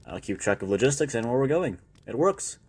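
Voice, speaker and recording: A man's voice: mid-range voice, sing-song, fairly quick, slightly rough, full character voice. A man playing a weary former doctor surviving a zombie apocalypse, talking in a reflective, conversational way to a trusted partner in an intimate audio roleplay. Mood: matter-of-fact planning. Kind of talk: intimate audio roleplay, one-sided dialogue.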